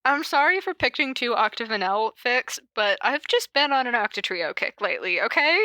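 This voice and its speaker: Female podcast host, very bright higher voice, playful and giggly, casual delivery, dry close sound. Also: sing-song, moderate pace, no room sound, rough recording. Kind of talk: casual podcast chat with reading aloud of notes.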